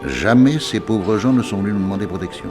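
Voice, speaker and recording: An actor in a 1960s French radio drama playing an excitable, chatty Parisian police official with an emphatic, theatrical delivery. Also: male voice, lowish voice, fast talker, over music, lightly acted. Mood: exasperated, grave.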